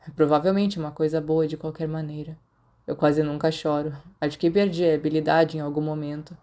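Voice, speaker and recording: Woman as a guarded young man, low voice. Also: steady tone, brisk, mellow, lightly acted. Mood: muted, resigned. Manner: understated, serious. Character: guarded, serious young man, quietly drunk, consoling. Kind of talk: audiobook character dialogue.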